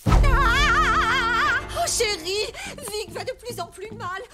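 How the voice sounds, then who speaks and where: A woman's voice: very high voice, extremely slow, music in the background, full character voice. A woman playing a fussing, worried pet owner in a French cartoon dub, fretful and doting.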